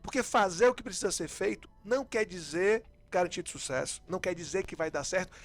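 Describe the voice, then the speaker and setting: High-voiced Brazilian man explaining emphatically. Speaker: podcast host. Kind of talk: casual explanatory chat.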